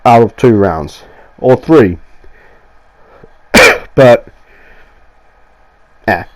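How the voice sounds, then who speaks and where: Man, nasal from a cold, mid pitch, rambling enthusiastic game reviewer voiceover. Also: animated, extremely slow, darkish tone. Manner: rambling, sweary, enthusiastic, restarts often. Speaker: gaming YouTuber. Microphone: voiceover mic.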